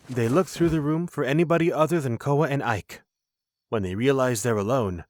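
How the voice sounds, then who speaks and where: A man's voice: mid-range voice, dry recording. Dry-sounding male audio drama narrator.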